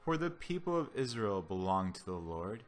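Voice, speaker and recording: A man giving a solemn scripture reading as a Bible reader, with deliberate, declamatory phrasing. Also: on the low side, sing-song. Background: background music.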